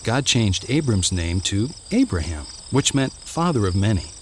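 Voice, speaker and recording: A man narrating a scripted children's Bible story in an expressive way, drawing out words for emphasis. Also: on the low side, moderate pace, a little hiss or hum.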